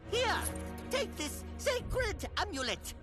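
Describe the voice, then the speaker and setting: A woman voicing an earnest, mystical quest-giver in an animated fantasy. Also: very high-pitched, slow pace, exaggerated voice. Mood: solemn. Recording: music under the voice.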